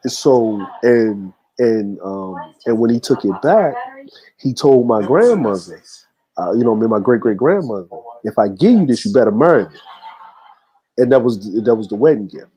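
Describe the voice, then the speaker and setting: A man's voice: medium pitch, animated, moderate pace, warm tone, low-quality recording. A man telling a family story in casual livestream chat, rambling with many fillers and restarts.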